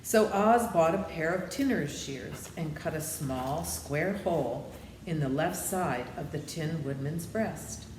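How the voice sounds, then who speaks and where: A woman reads a children's story aloud, pausing for asides to her listeners. Her voice is low-pitched, and it comes with the echo of a room and a little background noise. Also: expressive, a little mellow. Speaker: storybook reader.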